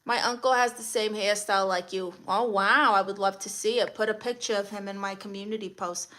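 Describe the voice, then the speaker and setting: Bright-voiced Brooklyn woman chatting on a livestream. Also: mid-range voice, steady tone, fairly quick. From Brooklyn, New York, USA. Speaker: livestream host. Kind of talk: casual livestream chat, greeting viewers and reading comments.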